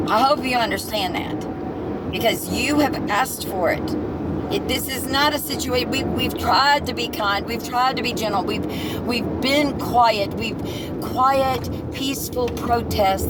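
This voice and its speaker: A woman host, giving blunt, profane political commentary that turns heated and nearly shouted. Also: fairly high pitch. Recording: loud street and traffic noise behind.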